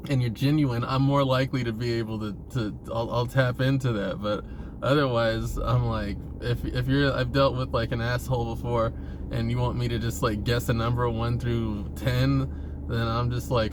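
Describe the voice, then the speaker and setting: Casual, sweary man over clear background noise. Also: medium pitch, fairly even intonation, moderate pace. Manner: informal, profane, frequently chuckling. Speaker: vlogger talking about himself. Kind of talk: casual rambling confessional monologue. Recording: clear vehicle-like ambient noise.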